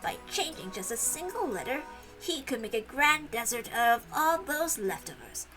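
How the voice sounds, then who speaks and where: A woman reading a visual novel aloud as expressive story narration. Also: on the high side, normal speed, music in the background, low-quality recording.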